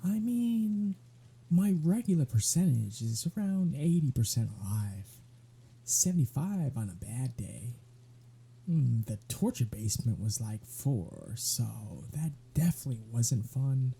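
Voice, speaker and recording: A man's voice: mid-range voice, sing-song, measured pace, gravelly, dark tone, breathy edge, heavily acted. A man playing a sardonic, weary vampire in an ASMR audio roleplay, talking in a flippant, conversational way. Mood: weary, subdued.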